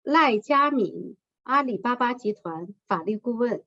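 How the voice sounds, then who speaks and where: A woman announces award winners in a measured, ceremonial reading. Her voice is fairly high. The recording sounds slightly muffled and lo-fi. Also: animated, fairly bright tone. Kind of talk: formal announcing of names and titles.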